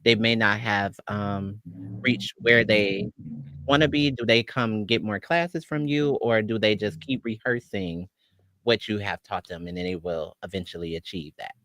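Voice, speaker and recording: A man's voice: on the low side, unhurried, a bit bright, no room sound. A man hosting a casual interview over a video call, slangy and affirming, asking long rambling questions. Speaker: host.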